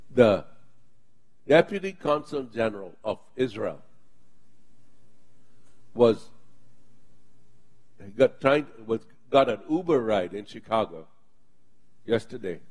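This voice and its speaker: A man's voice: lowish voice, very animated, takes it slowly, pauses often. A man preaching and leading his congregation in prayer, speaking fervently and with emphatic bursts. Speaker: pastor.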